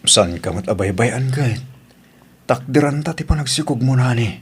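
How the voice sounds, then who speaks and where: A man's voice: medium pitch, animated, normal speed, slight background noise, lightly acted. A man playing a meek, dutiful young working-class boyfriend in an Ilocano audio drama, respectful and hesitant, with an Ilocano accent. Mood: reassuring.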